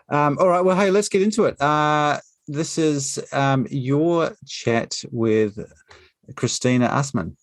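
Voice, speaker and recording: A man's voice: a little high, slow, long pauses. A man hosting a podcast, easygoing and upbeat as he moves the talk along. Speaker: podcast host.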